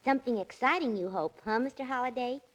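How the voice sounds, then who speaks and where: An actress playing a ditzy, excitable young secretary in a 1940s radio drama, breathless and girlish with folksy slang. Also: a little high, quick pace, lightly acted, rough recording. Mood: eager, curious. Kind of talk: 1940s radio comic acting. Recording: 1940s radio recording, faint music.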